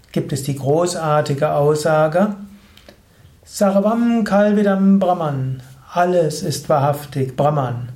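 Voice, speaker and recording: A man giving a slow, measured spoken lesson on yoga philosophy, explaining with thoughtful pauses. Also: a little high, a little mellow. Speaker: yoga teacher. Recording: roomy echo.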